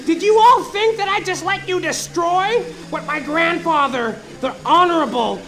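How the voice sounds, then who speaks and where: A man's voice: extremely high pitch, normal speed, a bit bright, pitched above the performer's own voice, poor audio. A man voicing a crazed, greedy business heir, a theatrical gloating villain in a comic audio detective play. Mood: enraged.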